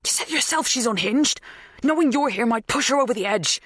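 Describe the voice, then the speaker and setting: A woman voicing a frantic, anxious young husband in an audiobook, sharp and pleading. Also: low-pitched, little pitch movement, fast, no echo, studio quality. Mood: exasperated.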